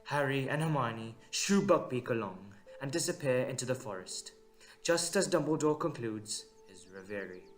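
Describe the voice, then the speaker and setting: A man's voice: mid-range voice, expressive, normal speed, faint music behind. A man giving dramatic scripted narration for an audio drama.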